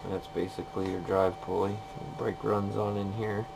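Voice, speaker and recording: A man's voice: lowish voice, flat delivery, normal speed. A man giving a casual, plain-spoken hands-on repair walkthrough in short, informal phrases. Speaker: machinist demonstrating a repair. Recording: clear background noise, workshop ambience.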